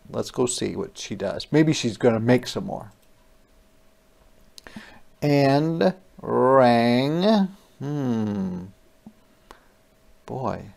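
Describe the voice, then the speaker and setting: Animated storyteller with slow, gravelly delivery. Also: mid-range voice. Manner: animated, playful wondering asides to young listeners. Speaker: storyteller reading a picture book aloud. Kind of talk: interactive children's read-aloud.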